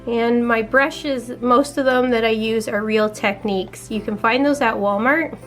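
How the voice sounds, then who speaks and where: A young woman chatting casually while doing her makeup, her voice a little raspy, walking through each step in a relaxed way. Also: fairly high pitch, measured pace, faint music behind. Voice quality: slightly raspy. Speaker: beauty vlogger.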